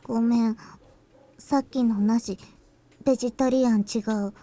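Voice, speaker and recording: Woman doing a little japanese science girl from a visual novel, really high soft mellow voice, says things short n deadpan. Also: brisk, natural voice. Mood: deadpan fibbing.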